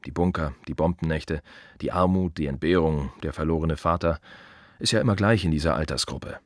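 A low voiced gravedigger in a radio play, wry and brooding, short repeated bits, really dry studio sound. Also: male voice, fairly bright tone, no room sound, full character voice. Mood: matter-of-fact, terse. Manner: wry, clipped fragments with pointed repetitions. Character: wry, brooding male gravedigger musing on the dead. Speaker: actor in a radio play monologue. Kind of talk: radio drama inner monologue.

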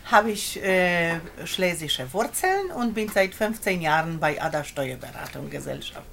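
A woman from Germany with a creaky, medium-pitched voice introduces herself casually. Faint background noise and ambience surround her. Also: sing-song, unhurried, a bit bright. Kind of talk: brief casual self-introduction.

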